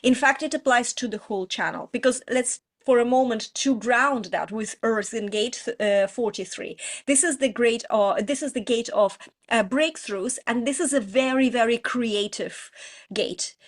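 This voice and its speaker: A woman's voice: fairly high pitch, normal speed. A middle-aged woman, a coach giving an earnest, informal astrology teaching talk with frequent restarts. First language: Russian. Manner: earnest, explains with many restarts and "you know"s. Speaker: prosperity coach.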